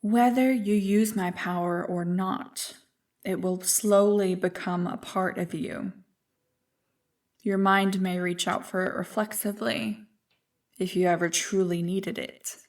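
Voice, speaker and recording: A woman voicing an ancient, evil primordial being in an ASMR roleplay, smug and tempting, with a deliberate, taunting delivery. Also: mid-range voice, recorded from a distance. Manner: slow, deliberate, taunting, coolly amused.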